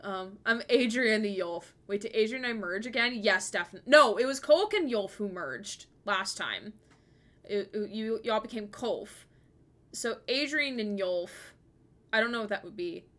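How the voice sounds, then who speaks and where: A woman's voice: medium pitch, animated, moderate pace, a bit bright. A woman in her twenties chatting casually on a livestream, laughing often and joking at her own expense. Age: 20s. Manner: giggly, self-deprecating, laughs often while talking.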